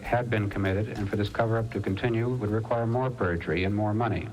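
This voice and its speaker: A man's voice: on the low side, fairly even intonation, quick pace, darkish tone, faint music behind, rough recording. A man reading formal testimony at a hearing, in archival audio. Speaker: hearing witness.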